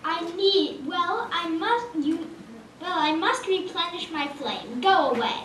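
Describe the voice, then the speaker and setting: A woman's voice: very high voice, lively intonation, takes it slowly, fairly bright tone, exaggerated voice. A woman voicing a boastful, busy dragon in a theatrical puppet show manner. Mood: gruff dismissal. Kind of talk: children's puppet show performance. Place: live puppet show in a roomy hall, far mic. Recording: distant, echoey, noisy live stage recording.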